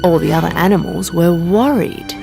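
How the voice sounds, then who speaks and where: A woman reading a scripted children's bedtime story as an expressive narrator. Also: on the low side, normal speed, vocal fry, over music.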